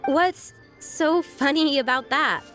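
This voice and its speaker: A woman's voice: sits high, slow pace, a bit bright, soft background music. A woman playing an earnest, determined and slightly naive young girl in a video game. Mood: puzzled.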